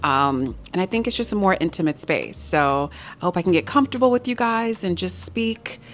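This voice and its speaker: A woman's voice: on the low side, normal speed. A young woman from Los Angeles, vlogging to camera in a chatty, giggly way and sounding a little nervous. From Los Angeles, United States. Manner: chatty, giggly, animated storytelling. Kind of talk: casual talk to camera.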